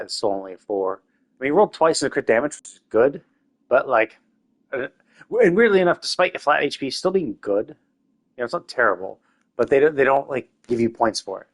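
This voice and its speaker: A man streaming a game live, thinking aloud in a loose, rambling way with lots of restarts. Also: mid-range voice. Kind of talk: casual live-stream commentary while playing.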